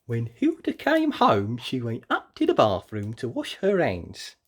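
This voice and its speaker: A woman reading a children's story aloud expressively, with dramatic emphasis and drawn-out words. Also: extremely low pitch, wildly swooping pitch, slight background noise. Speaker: storybook reader.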